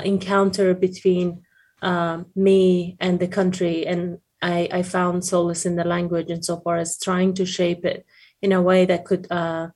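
A woman with an Afghan accent and Persian as her first language answers interview questions. Her voice is fairly low and stays quite flat in melody. She speaks reflectively and hesitantly, searching for the right words. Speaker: poet, interview guest.

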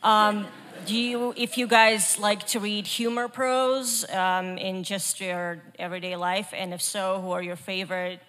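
Female audience member, halting polite question. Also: medium pitch, steady tone, a bit bright. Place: theater audience.

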